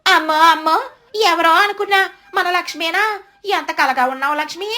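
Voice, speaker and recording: A woman's voice: very high voice, a little fast, bright, forceful tone, voice pushed up. A woman voicing an effusive, envious village gossip in a storybook tale. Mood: gushing, envious. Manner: exclaiming, effusive.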